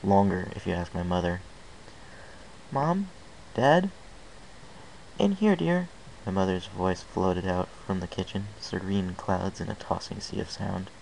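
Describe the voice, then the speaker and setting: A man narrates a first-person story with an earnest, unpolished delivery. His voice is very deep and carries a gravelly fry. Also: monotone, normal speed, few pauses, darkish tone, a little hiss or hum, poor audio. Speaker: fan fiction reader.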